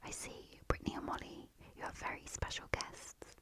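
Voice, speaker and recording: A woman's voice: moderate pace, close to an everyday voice. A woman whispering the lines of a horned male monster park worker with mock-polite menace. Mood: sinister.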